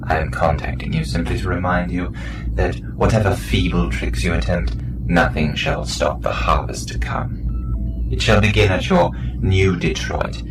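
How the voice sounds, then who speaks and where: An actor playing a gloating, menacing commander of an alien invasion fleet in a sci-fi audio drama, pompous and theatrical. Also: male voice, low voice, steady tone. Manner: pompous, slow, theatrical threats. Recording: echoey, distant transmission over music.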